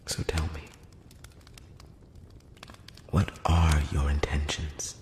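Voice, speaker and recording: Elf emissary for fantasy ASMR roleplay, played by a man: extremely low, slow, hushed and breathy, solemn and courteous. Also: exaggerated voice. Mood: earnest, cautious. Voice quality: breathy whisper mixed with very low murmur. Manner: hushed, halting, measured, earnest. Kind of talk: close-up whispered ASMR roleplay. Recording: roomy long reverb, faint noise.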